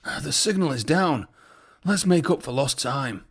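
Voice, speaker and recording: Man playing a kindly railway guard, lively delivery. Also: mid-range voice, a little slow, breathy edge. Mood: breathless, kindly. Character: apologetic, puffed railway guard, adult man.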